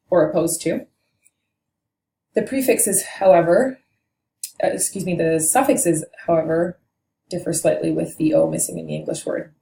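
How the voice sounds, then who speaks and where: A woman's voice: on the low side, mellow. A woman teaching a linguistics lesson, explaining patiently and correcting herself now and then. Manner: measured and explanatory, with occasional self-corrections. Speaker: language teacher. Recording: echoey room with faint noise.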